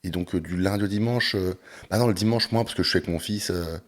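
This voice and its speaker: A man hosting a casual podcast chat, talking in a relaxed way with frequent hesitations. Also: sits low, fast talker. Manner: relaxed, talks with many hesitations. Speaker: podcast host and coach.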